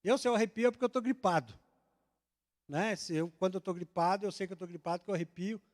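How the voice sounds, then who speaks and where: A male pastor from Brazil with a notably high voice, preaching in a church. He swings from loud, stressed declarations to fast, hushed asides, and he answers his own questions to the congregation. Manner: swings between loud emphatic declarations and quick, low confiding asides; asks the congregation questions and answers them himself. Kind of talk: sermon. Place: church sanctuary.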